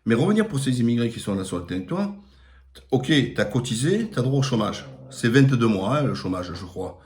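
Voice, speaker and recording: A man's voice: mid-range voice, sing-song, fairly quick, a little mellow, slight background noise. Middle-aged man, Marseille accent, blunt live-stream commentator, emphatic with lots of fillers. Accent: Marseille French. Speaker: political commentator. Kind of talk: live-streamed opinion monologue.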